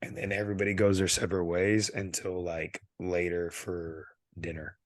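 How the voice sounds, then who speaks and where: A man in his thirties from California, co-hosting a podcast and telling rambling, animated stories while losing his voice and clearing his throat. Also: deep voice, moderate pace, no echo. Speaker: podcast co-host. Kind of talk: casual podcast chat.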